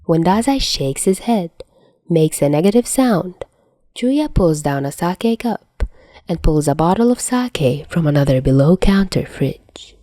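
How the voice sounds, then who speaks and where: Mellow, lively low-voiced woman narrating fiction. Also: a little slow, dry recording. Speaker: fan fiction audiobook narrator. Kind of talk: expressive scripted story narration.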